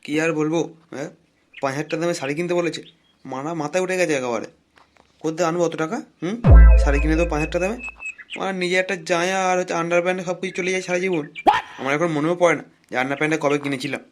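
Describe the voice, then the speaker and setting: Male actor as crude married club boss, comic cartoon banter, scolding, quick delivery, noisy background. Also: on the high side, fairly even intonation, natural voice. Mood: grumbling. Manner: scolding, foul-mouthed, complaining banter. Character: crude, bossy married village club elder brother, grumbling and scolding.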